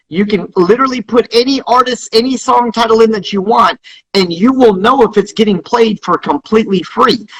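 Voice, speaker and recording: High-pitched, husky man explaining his business. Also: a bit bright, rough recording. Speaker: company founder being interviewed. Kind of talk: promotional interview explanation.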